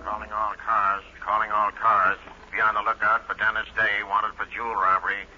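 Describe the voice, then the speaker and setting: A man's voice: on the low side, little pitch movement, normal speed, slight background noise, lightly acted. A man playing a barking tough police captain. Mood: official. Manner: barking, bullying. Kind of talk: 1940s radio comedy acting. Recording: dull old radio recording with loud audience.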